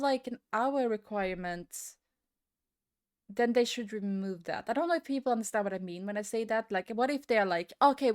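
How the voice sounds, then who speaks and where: A woman with a fairly high voice talks casually, as in a gaming rant video. She rambles through her opinions, hesitating and circling back to repeat her words.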